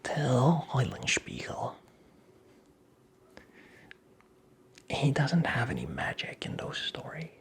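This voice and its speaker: A performer playing a creepy, teasing, very old male magical imp in a whispered ASMR roleplay, with breathy, creaky, theatrical delivery. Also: medium pitch, huge pitch swings, exaggerated voice. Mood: boastful. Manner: slow, theatrical, taunting, toying with the listener.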